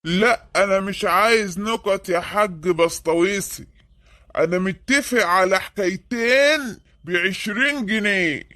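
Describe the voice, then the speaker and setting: A man's voice: high-pitched, a little slow, sharp tone, no echo, studio quality. A man voicing a grumpy, impatient, demanding neighbour in a comic cartoon, with an Egyptian accent and a complaining, confrontational manner. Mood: grumbling.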